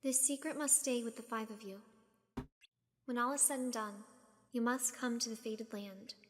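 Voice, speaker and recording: A woman's voice: on the high side, steady tone, normal speed, close to an everyday voice. A woman playing a solemn, mysterious master in an anime-style game fan dub. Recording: long reverb, faint noise.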